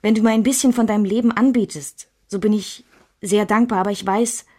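A woman's voice: medium pitch, steady tone, brisk, dark tone, close to an everyday voice. An actress playing a reflective woman who looks back on a troubled marriage in a literary radio play. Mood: reflective, resigned.

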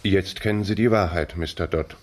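A man's voice: sits low, normal speed, a little mellow. An actor playing a grave older colonel and protective father in a 1960s German radio drama, speaking solemnly and heavily. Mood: grave, pained.

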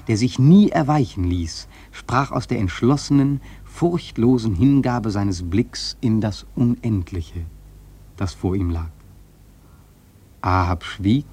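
A grave seafarer telling his tale in a literary radio play. His voice is fairly low and husky. The delivery is solemn, with dramatic emphasis. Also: a little mellow, exaggerated voice. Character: grave, awed sailor recounting his voyage. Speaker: narrator.

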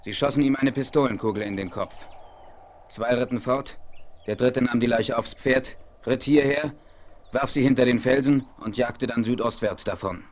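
Casting: a man as proud, observant Apache chief, measured and formal delivery, mid-pitched, vintage audio drama with slight record noise. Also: natural voice. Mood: solemn, assured. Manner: formal, declamatory, measured, addresses others in third person. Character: noble, observant Apache chief, calm and solemn. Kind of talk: 1970s German radio play acting. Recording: noisy 1970s vinyl radio play rip.

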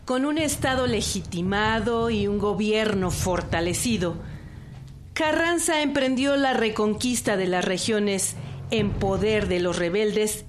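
A woman's voice: medium pitch, a little slow, noisy background. A woman giving scripted historical documentary narration.

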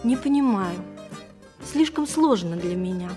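An actress playing a naive, wondering milliner in an audio drama, speaking in an artless, musing way. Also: female voice, medium pitch, animated, a little slow, music in the background, natural voice. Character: naive, wondering unmarried milliner of ancient Greece. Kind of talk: theatrical audio drama with first-person narration.

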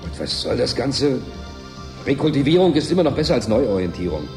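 An actor playing an irritable, evasive station worker in a radio drama, brusque and colloquial. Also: male voice, medium pitch, big pitch swings, fairly quick, darkish tone, echoey room, soft background music. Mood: irritated. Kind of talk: German radio drama acting.

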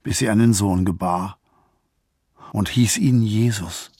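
An actor playing a solemn reader who recites gospel scripture in a radio drama. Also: male voice, lowish voice, slow pace, mellow, dry recording.

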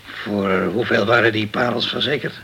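An actor playing a guilt-ridden, hard-drinking, middle-aged ship's captain in a radio crime drama, halting and defensive, stumbling over his words. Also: on the low side, steady tone, creaky, a bit bright, slight background noise. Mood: wary, probing.

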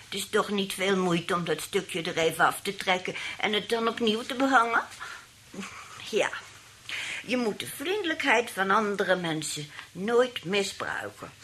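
A woman's voice: medium pitch, measured pace, fairly bright tone, a little hiss or hum, close to an everyday voice. An actress playing an elderly, sweetly demanding aunt who likes her wine, in a radio play. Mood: pointed. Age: older.

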